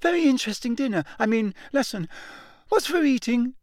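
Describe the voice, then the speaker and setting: A man voicing a frightened teenage boy who gabbles nervously in an unnaturally high voice. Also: lively intonation, a little fast, darkish tone, dry recording, studio quality. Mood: panicky gabbling.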